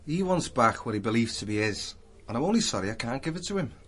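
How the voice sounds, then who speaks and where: An actor playing a proud, evasive young artist in a radio drama, speaking in a cool, measured, slightly pompous way. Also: male voice, mid-range voice, very animated. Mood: proud, resolute.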